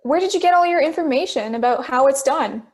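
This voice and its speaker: A woman's voice: sits high, animated, fairly quick. A woman playing a persistent, logical juror with a pointed, questioning manner in an amateur audio drama. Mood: pressing. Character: persistent, logical juror who challenges the others.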